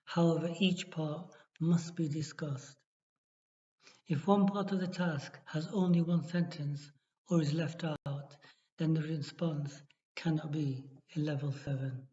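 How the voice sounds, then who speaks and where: A man tutoring for an exam, reading a model essay aloud in a steady, measured way with small restarts. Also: a little high. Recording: dull lo-fi recording.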